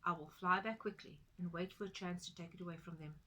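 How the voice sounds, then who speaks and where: A woman voicing a kind male pigeon in a storybook, gentle and reassuring. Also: on the low side, steady tone, fast. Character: kind helpful male pigeon, calm friend.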